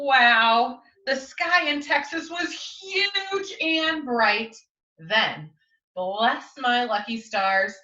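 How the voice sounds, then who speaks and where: A woman teacher reading a picture book to young children in an expressive, coaxing way, often turning to them with questions. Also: high voice. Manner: expressive, coaxing, asks frequent tag questions. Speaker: schoolteacher reading to young pupils. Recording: roomy echo, distant mic, muffled audio.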